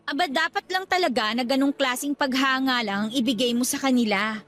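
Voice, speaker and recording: A woman's voice: sits high, a little fast, fairly bright tone. A woman with a Filipino accent playing a playful, clingy, jealous young girlfriend in a radio drama. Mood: playfully jealous. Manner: coy, teasing. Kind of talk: radio drama acting, conversational Taglish.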